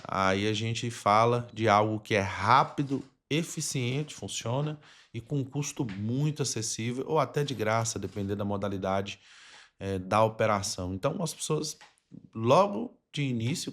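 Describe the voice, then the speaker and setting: A man's voice: medium pitch, moderate pace, fairly bright tone. A man with a Brazilian accent hosting a conversational podcast interview, explaining things at length in a wordy way. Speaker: podcast host.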